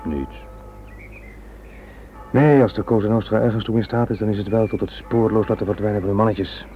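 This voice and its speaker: A male actor playing a wry, resigned, suspended British police inspector in a radio drama, speaking in a measured, world-weary way. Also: lowish voice, lightly acted. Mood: dry irony. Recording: old radio recording, narrow band, background noise.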